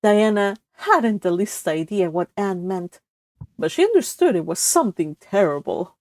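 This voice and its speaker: A woman reading a classic novel aloud as expressive scripted storybook narration. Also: medium pitch, moderate pace, dry recording. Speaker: audiobook narrator.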